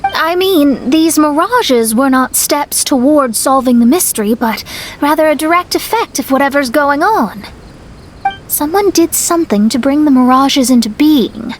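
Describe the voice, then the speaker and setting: High-voiced young woman astrologer, solemn and articulate. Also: animated, a little hiss or hum. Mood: pondering. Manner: articulate, measured, theatrical. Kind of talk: video game voice acting, dramatic monologue.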